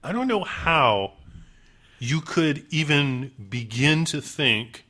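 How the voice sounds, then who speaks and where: A man's voice: a little high, expressive, slow pace, sharp tone. A man co-hosting a casual podcast chat, reacting with short exclamations. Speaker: podcast co-host.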